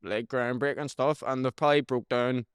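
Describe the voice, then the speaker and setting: A young man with a Belfast accent talks loosely on a podcast, swearing freely and tagging sentences with "do you know what I mean". His voice is smooth and clear, at an ordinary male pitch. The recording is dry and close. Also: steady tone, moderate pace, no room sound. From Northern Ireland. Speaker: rapper guest. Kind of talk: casual podcast chat.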